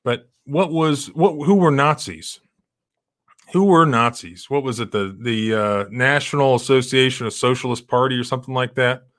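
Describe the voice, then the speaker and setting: A man's voice: mid-range voice, normal speed, a bit bright. Rambling man hosting a live stream. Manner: rambling, many restarts and fillers, emphatic. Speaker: civil rights lawyer hosting a live stream. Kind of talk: casual live-stream commentary.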